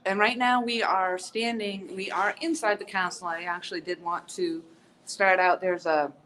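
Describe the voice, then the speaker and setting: A woman's voice: medium pitch, steady tone, normal speed, fairly bright tone. Woman, stuffy nose, sniffly, chatty live-stream host, outdoors on a handheld phone, faint background noise. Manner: chatty, upbeat, greets viewers by name, many ums. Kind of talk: casual live-stream chat. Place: outdoor castle courtyard.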